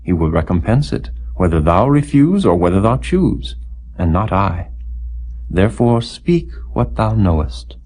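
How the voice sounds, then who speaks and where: A man with a fairly low, mellow voice reads scripture solemnly from a script. His tone is husky and soft-edged, and his delivery is formal, measured and declamatory. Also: big pitch swings. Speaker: audiobook Bible reader.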